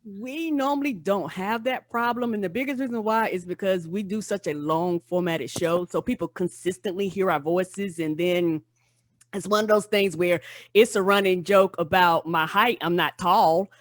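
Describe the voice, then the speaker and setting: Very high-voiced man, dry podcast chat. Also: moderate pace, dry recording. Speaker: podcaster, guest panelist. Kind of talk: casual panel chat.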